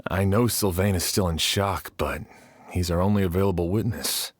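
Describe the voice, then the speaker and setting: Brief: an earnest, courteous male officer, very low voice. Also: moderate pace, dry recording, clean studio sound. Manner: earnest and polite. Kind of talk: video game voice acting.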